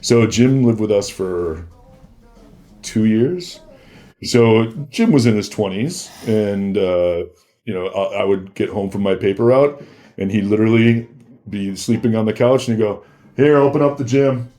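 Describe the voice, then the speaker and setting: A man's voice: lowish voice, a little slow, warm tone. A man speaking as a podcast guest, telling anecdotes casually and acting out short bits of dialogue as he goes.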